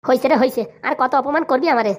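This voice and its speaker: Someone doing a grumpy village guy in a cartoon, talks super quick, blustery and teasing, rural bengali accent. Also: high voice, lightly acted. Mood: grumbling. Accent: rural Bengali dialect. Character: grumbling, teasing married rustic man, Haguda. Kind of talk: comedy cartoon voice acting.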